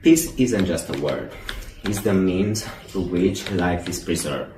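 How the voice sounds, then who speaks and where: A man's voice: low-pitched, animated, slow, a little mellow. A man giving a short prepared statement in an earnest manner. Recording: echoey room with faint noise.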